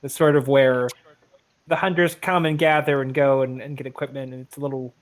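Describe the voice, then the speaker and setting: A man's voice: medium pitch, little pitch movement, a little fast. A man talking casually as a player in an online tabletop fantasy game. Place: online voice call.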